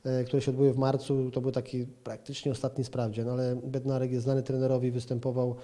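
A man's voice: mid-range voice, little pitch movement, quick pace, warm tone. A man in his forties answering questions as a football pundit in a TV interview, hesitant with many fillers and restarts, recorded indoors on a handheld reporter's mic. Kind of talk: TV sports interview. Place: indoor office room with plant. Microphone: handheld reporter mic held toward him.

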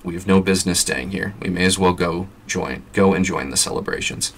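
A man voicing a wise old male wizard headmaster who speaks calmly with dry humour. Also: sits low, a little fast, a little hiss or hum.

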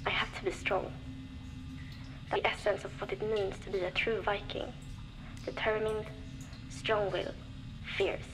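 An actress playing a young woman survivor, reflective and determined, recording a measured audio diary for a video game. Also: medium pitch, level tone, background noise, natural voice. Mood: resolute. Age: young adult.

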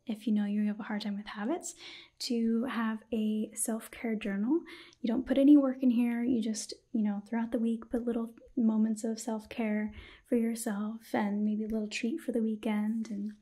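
A woman in her twenties presenting softly in an ASMR style, with a breathy, often whispered voice. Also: a little high. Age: 20s. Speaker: ASMR video creator reading a sponsor message. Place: small indoor room.

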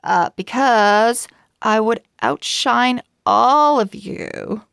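A woman voicing a haughty, boastful female pet in a children's storybook, with a smug, goading, drawn-out delivery. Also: a little high, gravelly, a bit bright, clean tone, dry recording, studio quality, full character voice.

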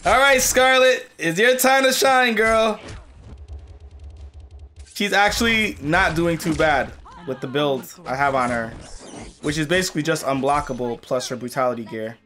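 A young man commentating on a mobile fighting game, excitable and losing his voice, seated at a home gaming setup. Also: fairly high pitch, normal speed, soft background music. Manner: excitable, swings from muttering to yelling at the game. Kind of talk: casual gameplay commentary.

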